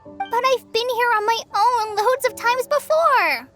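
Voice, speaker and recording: Teenage girl, very high voice, anxious, courteous, video game character, faint background music. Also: measured pace. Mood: protesting.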